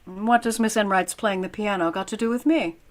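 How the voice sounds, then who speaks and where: A woman playing a wry, quick-witted schoolteacher with dry wisecracks in old-time radio comedy style. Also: mid-range voice, natural voice. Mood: wry, reluctant. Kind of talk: 1940s radio comedy acting.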